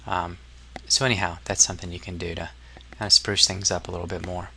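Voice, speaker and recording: A man narrating a casual software tutorial as an instructor, explaining step by step in an informal, somewhat hesitant way. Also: low-pitched, flat delivery, fast talker, creaky, slight background noise.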